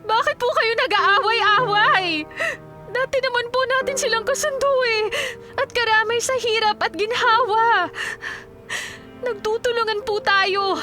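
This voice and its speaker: A Filipino actress playing an earnest, pleading young adult daughter in an emotional radio drama. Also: female voice, very high voice, unhurried. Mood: sorrowful pleading. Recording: loud dramatic music behind. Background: dramatic music.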